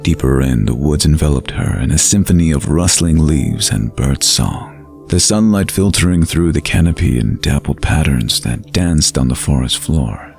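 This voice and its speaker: A man narrating a scripted fantasy bedtime story in a measured, atmospheric, dramatic way. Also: very deep voice, lively intonation, vocal fry, a little mellow, soft background music.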